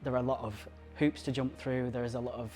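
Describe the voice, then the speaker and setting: A man from Surrey speaking earnestly and emotionally in an interview, pausing and restarting as he goes. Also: mid-range voice, little pitch movement, warm tone, faint music behind. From Surrey, England. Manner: earnest, halting, moved. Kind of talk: emotional personal testimony.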